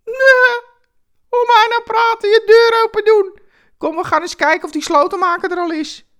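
A woman voicing a boisterous, blunt homeless man who talks loudly and eagerly in a Christmas radio story. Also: extremely high pitch, fairly even intonation, moderate pace, pitched above the performer's own voice. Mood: brusque. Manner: loud, blunt, exuberant. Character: boisterous, enthusiastic homeless man, rough and talkative.

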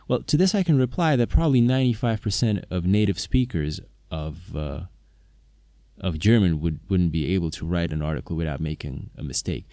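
A man's voice: sits low, lively intonation, fairly quick, mellow, no echo, clean studio sound. A man whose first language is Slovak, talking to viewers about knowing languages, hesitant, with many fillers and restarts. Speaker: polyglot video maker, interpreter. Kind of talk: casual talk to camera.

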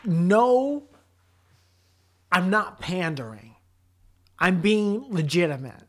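Young dude from connecticut, rambly and profane, goofing around on a podcast. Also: male voice, sits high, very animated, slow pace, a bit bright. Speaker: podcast co-host. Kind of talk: casual chat.

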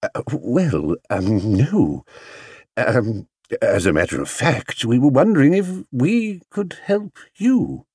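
A proper middle-class husband from a children's story, voiced by a man. He is halting, anxious and easily embarrassed. His pitch rises and falls a lot, in a dry, close recording. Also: huge pitch swings, darkish tone, slightly rough, dry recording, full character voice.

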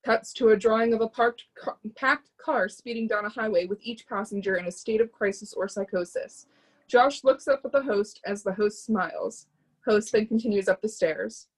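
Husky woman reading stage directions. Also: mid-range voice, moderate pace, poor audio. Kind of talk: scripted narration.

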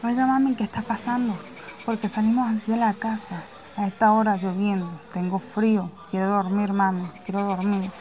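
A woman's voice: mid-range voice, flat delivery, normal speed, natural voice. A female performer acting a tired, cold young child complaining plaintively in an amateur radio drama. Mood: sleepy, plaintive. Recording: noisy field-like radio drama recording.

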